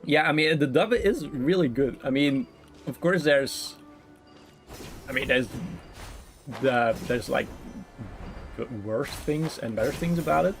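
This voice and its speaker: A man's voice: a little high, unhurried, long pauses. Joking male game streamer over music. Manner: joking, rambling, giggly. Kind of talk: casual livestream game commentary.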